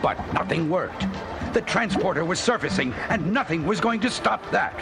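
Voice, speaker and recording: Animated man narrating a dramatic storybook. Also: high-pitched, moderate pace, creaky, over loud music.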